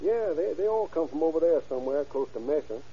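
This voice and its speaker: An easygoing, folksy local man, played by a radio actor answers casually in a somewhat high voice. It is a vintage radio drama, muffled, with a faint hum behind it. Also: animated, quick pace, lightly acted. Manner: casual, colloquial. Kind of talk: old radio crime drama acting.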